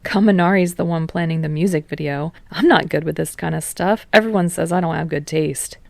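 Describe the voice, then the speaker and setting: A woman's voice: low-pitched, brisk, creaky, dry recording. A woman voicing an earnest, easily flustered young man in an audiobook story, questioning and self-deprecating. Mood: sheepish.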